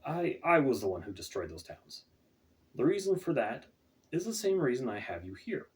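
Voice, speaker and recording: A man voicing a conflicted, hesitant male killer with dark magic in a fantasy storybook, halting and uneasy, recorded away from the microphone. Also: mid-range voice, big pitch swings, distant mic, pitched above the performer's own voice, lightly acted. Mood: explaining.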